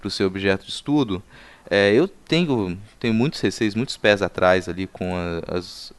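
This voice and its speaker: Brazilian male podcast host: low, clean resonant voice, thoughtful and halting, conversational questioning. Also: clear, resonant tone. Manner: halting, repeats words while forming long thoughts. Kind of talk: conversational, reflective interview questioning.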